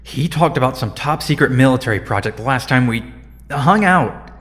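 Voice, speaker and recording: A man's voice: mid-range voice, lively intonation, a bit bright, close to an everyday voice. Man playing a defensive young hero, reverberant. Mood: uneasy. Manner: halting, defensive. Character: defensive, guarded young male superhero. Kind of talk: audio drama acting.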